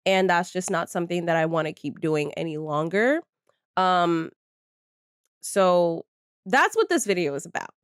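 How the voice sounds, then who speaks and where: A woman's voice: mid-range voice, clean tone, no echo, clean studio sound. A woman of thirty talking candidly and casually to her viewers from her bedroom, chatty and swearing freely into a desk microphone. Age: 30s. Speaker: channel host. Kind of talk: casual vlog talk to viewers.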